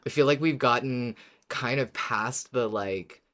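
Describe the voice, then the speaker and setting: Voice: medium pitch, a little slow, a bit bright. A podcast co-host chatting casually about a favorite show in an informal, emphatic way. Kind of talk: casual fan podcast discussion.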